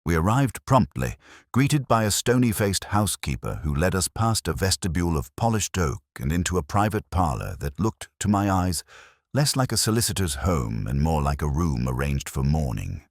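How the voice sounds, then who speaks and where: A man with a very deep voice narrates a story in a close, dry sound. His delivery is solemn and dramatic, with lively rises and falls in pitch. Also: normal speed, no room sound. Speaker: audiobook narrator.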